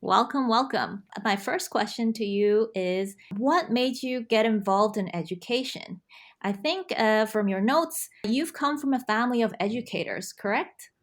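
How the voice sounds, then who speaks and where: A woman's voice: on the high side, animated, measured pace. Woman host on an academic podcast, friendly, asks questions nicely. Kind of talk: scripted intro then interview questions.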